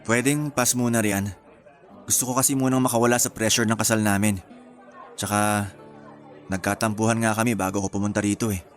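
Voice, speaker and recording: A man's voice: lowish voice, level tone, fairly quick, long pauses, soft background music, lightly acted. An actor playing a teasing, smitten engaged man in his thirties in a romantic radio drama. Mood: subdued. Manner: playful, flirtatious teasing.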